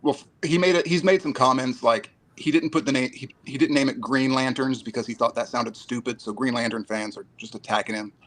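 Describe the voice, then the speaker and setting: A man joining a casual chat by phone as a guest and tossing in quick, offhand remarks. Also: mid-range voice, fairly bright tone, slight background noise.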